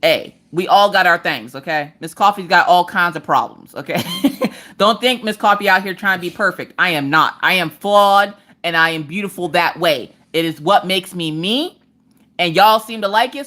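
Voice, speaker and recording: A woman hosting a live crafting stream, chatting casually with viewers, talking fast and sassy, with an occasional stutter. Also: lowish voice, bright, forceful tone. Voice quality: stutters at times. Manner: talks fast to cover a stutter; sassy and playful, swears freely. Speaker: livestream host of a crafting channel. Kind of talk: casual live chat, reading viewer comments.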